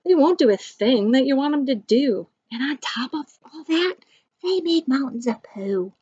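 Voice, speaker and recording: A woman's voice: high-pitched, animated, moderate pace, a little mellow, dry recording, muffled recording, voice pushed up. A woman voicing a blunt, disgusted crocodile with an emphatic, complaining manner in a picture storybook. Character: blunt, disgusted crocodiles warning about babies.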